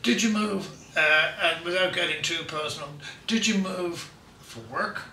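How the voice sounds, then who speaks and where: Irish radio host guy, high sharp voice, lots of uhs, echoey room. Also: measured pace, recorded from a distance, a little hiss or hum. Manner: hesitant, many filler words. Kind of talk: radio interview. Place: hotel room.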